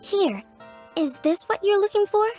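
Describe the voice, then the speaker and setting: An earnest young craftswoman in a game. Her voice is high and very animated, polite and eager, with faint music underneath. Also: a little slow. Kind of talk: video game voice acting.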